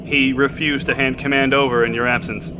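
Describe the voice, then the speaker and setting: A man's voice: mid-range voice, level tone, fairly quick, background noise, close to an everyday voice. An actor playing a loyal, exhausted submarine sailor who speaks respectfully to his captain. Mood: dutiful. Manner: respectful, dutiful, frequent "sir". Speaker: sailor, coxswain. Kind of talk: audio drama acting.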